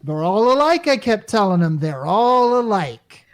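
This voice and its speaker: An actor playing a frantic everyman repairman and husband in a radio comedy, excitable, slangy and stammering. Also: high-pitched, a little slow. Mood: exasperated. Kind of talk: 1950s radio comedy acting.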